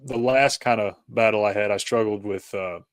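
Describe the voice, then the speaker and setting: A man with a fairly low voice talks as a podcast guest in a dry, close sound. He explains his views in a conversational, hesitant way, circling through his thoughts as he goes. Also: normal speed, no echo. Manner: hesitant, rambling, peppered with "you know" and "kinda". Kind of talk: conversational interview, explaining personal faith journey.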